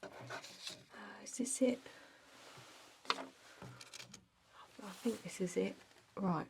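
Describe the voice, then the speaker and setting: A woman playing a bored energy vampire office clerk in an ASMR roleplay, soft, breathy and often whispering, with a halting deadpan delivery. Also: mid-range voice. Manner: halting, monotone, hesitant, deadpan.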